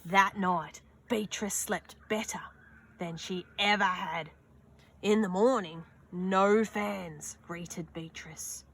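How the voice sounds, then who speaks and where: A young woman reading a children's picture book aloud outdoors, slow and expressive, with gasps and little asides. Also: medium pitch. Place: outdoors on a sunny wooden deck.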